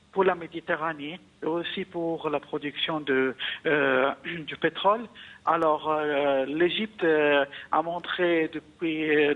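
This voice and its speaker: A man from Egypt comments as a guest analyst in a news interview. His voice sits fairly high for a man, and he speaks haltingly, repeating small words as he builds his points. The sound is narrow and phone-like.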